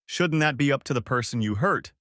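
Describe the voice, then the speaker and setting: Man doing a stern mom scolding, pitched up, blunt. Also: expressive, fairly quick, a bit bright, dry recording, studio quality, natural voice. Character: stern scolding middle-aged mother.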